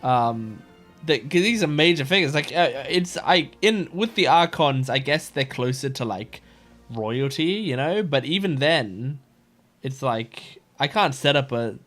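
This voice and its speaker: A man's voice: medium pitch, expressive, a little slow, a bit bright. A man from Australia giving casual, joking reaction commentary over a video game, sometimes reading text aloud. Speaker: gaming streamer. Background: faint game music.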